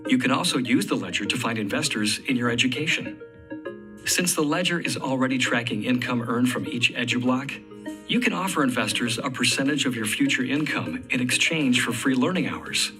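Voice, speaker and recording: A man reading scripted narration for an explainer video. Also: medium pitch. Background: background music.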